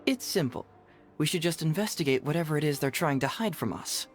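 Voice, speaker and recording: An actor playing a confident, playful young male detective in a video game, with a smooth, self-assured delivery. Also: fairly high pitch, expressive, brisk, lightly acted. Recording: music behind.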